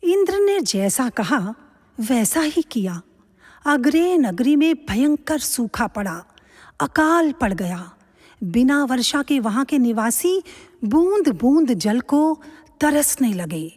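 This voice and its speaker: Female narrator, dramatic storytelling, lively swooping intonation, slow solemn pacing, scripted, long hall reverb. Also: high-pitched.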